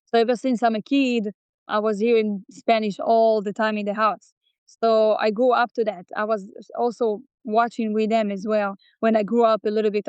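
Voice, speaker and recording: A woman's voice: mid-range voice, smooth, dry recording. A woman from Israel, a singer, earnestly talking about her work in loose English as an interview guest. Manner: earnest, loose non-native English grammar. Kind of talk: casual interview chat. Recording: dull, dry close-mic audio.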